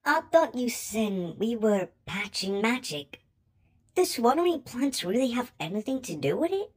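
A woman's voice: on the high side, big pitch swings, measured pace, husky, full character voice. A woman voicing a skeptical young owl demon daughter in an amateur cartoon comic dub.

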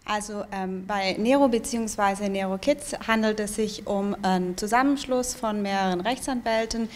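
A young woman with a medium-pitched voice explains as an interview guest. A handheld microphone picks her up indoors with a little background noise. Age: young adult. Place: small indoor information room with bookshelves. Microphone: handheld microphone held out to her.

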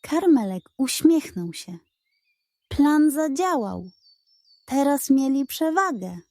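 A woman narrates a children's bedtime fairy tale at a slow, measured pace. Her voice is fairly high and mellow, with expressive delivery. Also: no echo, studio quality. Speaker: storyteller.

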